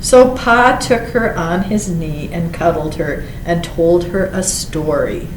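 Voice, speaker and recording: Slow-paced woman reading a children's story, roomy echo. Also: lowish voice. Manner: expressive storyteller with drawn-out words and short asides. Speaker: library storytime reader. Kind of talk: children's picture book read-aloud. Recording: roomy echo with faint background noise.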